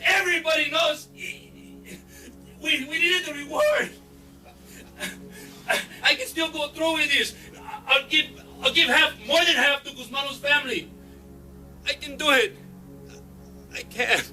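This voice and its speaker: An actor playing a furious, distraught man in an audio drama, with anguished, halting outbursts. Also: very high-pitched, pushed and bright, distant mic, soft background music, full character voice, poor audio. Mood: desperate pleading.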